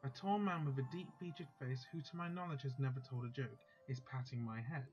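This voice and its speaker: A man's voice: mid-range voice, a little fast, darkish tone, muffled recording, faint music behind. A man hosting a let's play, chatting casually and then reading a visual novel's text aloud.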